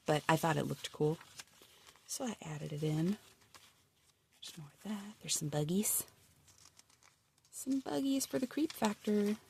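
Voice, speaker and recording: Woman, low-pitched, sniffly with a cold, bubbly casual chat, faint background hiss. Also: a little mellow. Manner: chatty, bubbly, often drops to a murmur. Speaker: crafter showing a handmade journal. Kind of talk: casual chatty flip-through.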